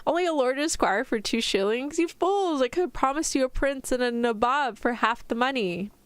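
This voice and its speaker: A woman's voice: fairly high pitch, big pitch swings, moderate pace, no echo, pitched above the performer's own voice. A woman voicing an indulgent, teasing father from an old English novel, with exclamatory, old-fashioned phrasing in a storybook read-aloud. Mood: mock indignant.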